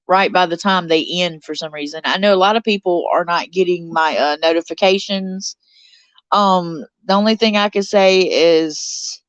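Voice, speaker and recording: A woman's voice: on the low side, clean tone, no room sound, poor audio. Chatty stuffed-up woman streaming from bed. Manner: chatty, reads out viewer comments. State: stuffed up from sinuses. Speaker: live stream host. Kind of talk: casual live stream chat. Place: cold bedroom under a blanket.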